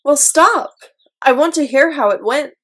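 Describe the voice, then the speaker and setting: A woman's voice: high-pitched, lively intonation, a little slow, bright, forceful tone, exaggerated voice. A woman playing a devilish, impatient and teasing woman in an audio comedy drama.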